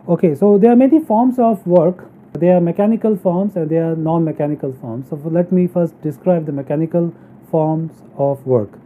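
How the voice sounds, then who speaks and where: A narrow, phone-like recording carries a man's lecture. He teaches engineering in an explanatory way, moving step by step. His delivery is halting as he works through each point. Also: a little high. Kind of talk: explanatory technical lecture over slides.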